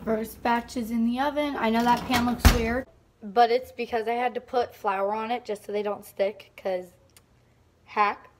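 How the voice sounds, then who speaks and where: A woman's voice: fairly high pitch, steady tone, normal speed, heavy background noise. A young woman rambling casually and mocking herself while baking in her home kitchen. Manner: chatty, self-mocking, rambling. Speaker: vlogger. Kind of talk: casual vlog narration.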